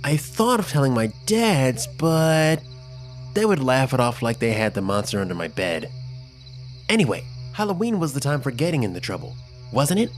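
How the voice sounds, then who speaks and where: Male storyteller for a kids' spooky tale: lively, swooping intonation, dramatic delivery, music underneath. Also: medium pitch, moderate pace. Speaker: story narrator. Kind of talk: scripted first-person children's story narration.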